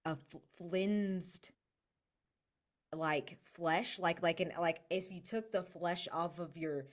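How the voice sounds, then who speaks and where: Lowish-voiced woman, halting game player. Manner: halting, searching for words. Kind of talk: improvised, casual in-game description.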